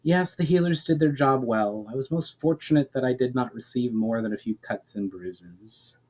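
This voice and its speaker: A man's voice: medium pitch, normal speed, a little mellow, close to an everyday voice. A man voicing an inquisitive warrior lady. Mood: modest. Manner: formal, inquisitive. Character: female warrior, curious, questioning, sometimes sarcastic.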